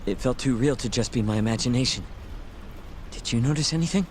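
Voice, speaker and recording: An actor playing a plucky, loyal and slightly cheeky young sidekick in a dramatic video game. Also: male voice, mid-range voice, brisk, a little hiss or hum, natural voice. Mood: thoughtful. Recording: loud dramatic music behind.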